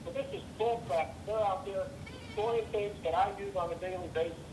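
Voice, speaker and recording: Over a clearly noisy phone call, a man from Los Angeles speaks as a caller to a talk show. He is blunt and insistent as he voices complaints. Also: high voice, a little fast, roomy sound. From Los Angeles, United States.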